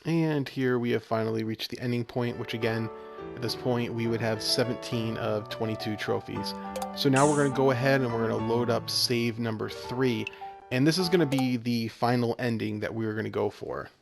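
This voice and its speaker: Male walkthrough host: explanatory, instructional delivery with noticeable vocal fry over light game music. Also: mid-range voice, normal speed. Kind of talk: walkthrough commentary.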